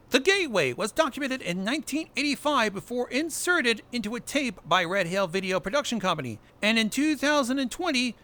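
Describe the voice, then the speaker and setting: A man's voice: high-pitched, pushed and bright. A young man hosting a casual monthly roundup of online horror series, with wry asides and jokes. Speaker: host of an analog horror news roundup.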